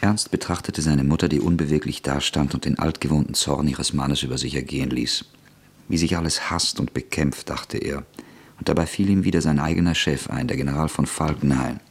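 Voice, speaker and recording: A man narrates a literary radio drama in a very low register. The voice is husky with a steady creak, and the storytelling stays sober and measured.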